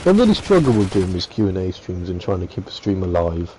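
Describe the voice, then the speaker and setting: A man's voice: low-pitched, very animated, a little fast, warm tone, a little hiss or hum. A young man chatting and teasing his co-host on a casual gaming stream, rambling and laughing often.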